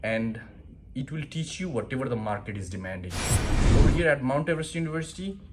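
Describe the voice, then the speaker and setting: A man's voice: medium pitch, very noisy. A man from Nepal with a Nepali accent, welcoming listeners to his university in an earnest, plain-spoken way with many fillers. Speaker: university founder. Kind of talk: promotional welcome talk.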